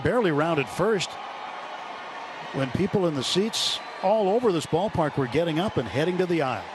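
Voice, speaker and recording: A man's voice: on the high side, moderate pace. A man doing live play-by-play baseball commentary on the radio. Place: broadcast booth at a ballpark. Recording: noisy stadium radio broadcast. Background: steady ballpark noise.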